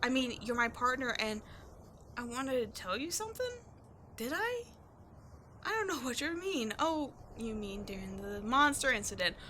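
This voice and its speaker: A woman acting in a roleplay audio drama as a brash young tomboy archaeologist with a soft side, animated, slangy and teasing. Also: a little high, normal speed, a little hiss or hum. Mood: bashful, evasive.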